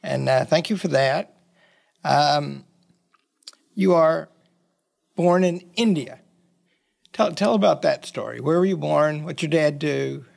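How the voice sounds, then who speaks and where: A man's voice: on the high side, slow, vocal fry, pauses often, darkish tone. A man hosting an interview, asking questions in a friendly, conversational way with hesitant ums. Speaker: interview host.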